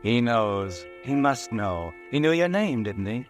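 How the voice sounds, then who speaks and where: A man's voice: mid-range voice, very animated, unhurried, soft background music. A man playing a mocking, ominous narrator who goads the listener in the second person, in a 1940s radio drama. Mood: taunting. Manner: taunting, goading, second-person.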